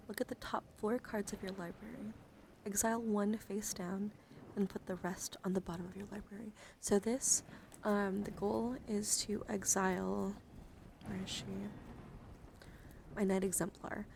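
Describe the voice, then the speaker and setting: Female ASMR streamer, soft and soothing, drifting into whispers, close-miked with clear background noise. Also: medium pitch, fairly even intonation, a little slow. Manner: soft-spoken, often dropping into whispers; gentle, soothing, encouraging. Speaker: livestream host showing trading cards. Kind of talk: ASMR, soft casual chat.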